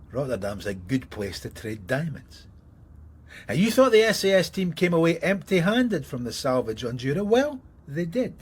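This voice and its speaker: An actor playing a sardonic middle-aged police detective in a radio crime drama, needling and teasing with dry sarcasm in a husky voice. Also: male voice, on the high side, a little mellow, heavily acted.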